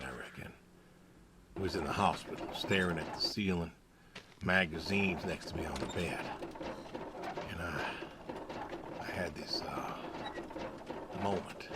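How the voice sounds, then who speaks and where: A man's voice: deep voice, a little slow, pauses often, heavy background noise. A man playing a stoic, weary older pig farmer and war veteran in a game, laconic and folksy. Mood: stoic, terse. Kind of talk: naturalistic video game dialogue.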